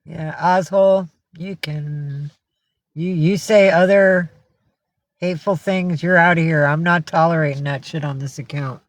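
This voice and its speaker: A woman's voice: low-pitched, fairly even intonation, unhurried, dry recording. A woman hosting a casual livestream from home, talking in a rambling, blunt and sweary way. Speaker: livestream host. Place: indoors at home with cats.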